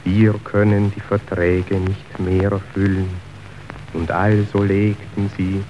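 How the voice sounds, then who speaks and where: A man's voice: on the low side, warm tone, highs cut off, a little hiss or hum. A man acting an impassioned messenger in a radio drama, declaiming verse in a theatrical manner that moves between grave and frenzied. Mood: hushed, solemn. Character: impassioned male messenger reporting a stock market collapse.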